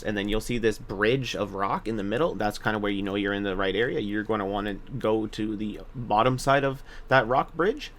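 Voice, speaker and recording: Low-pitched man giving a casual game guide. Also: a bit bright. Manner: informal, hesitant, self-correcting. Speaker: gaming video guide narrator. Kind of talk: casual game walkthrough commentary.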